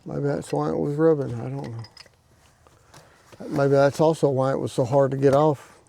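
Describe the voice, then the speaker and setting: A man's voice: mid-range voice, steady tone, normal speed, creaky, warm tone, slight background noise. A man casually narrating outdoors as he works on his property, hosting his own vlog. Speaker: vlog host doing outdoor property work. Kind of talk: casual narration while working.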